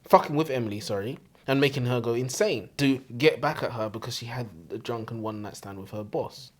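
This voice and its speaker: A man's voice: mid-range voice, brisk. A man co-hosting a casual book review chat, speaking in a blunt, exasperated, sweary way. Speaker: co-host of a book review show.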